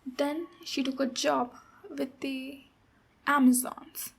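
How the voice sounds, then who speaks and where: A woman playing a boisterous, protective female ogre warrior in an ASMR roleplay, speaking deliberately with pauses between phrases. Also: sits high, level tone, vocal fry, exaggerated voice. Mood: disapproving. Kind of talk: ASMR roleplay, storytelling and direct address.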